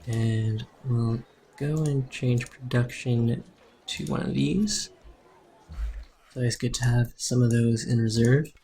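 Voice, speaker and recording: Soothing-voiced man calmly narrating his game. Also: mid-range voice, slow, a little hiss or hum. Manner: calm, explaining his moves as he plays. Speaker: game streamer. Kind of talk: live game commentary.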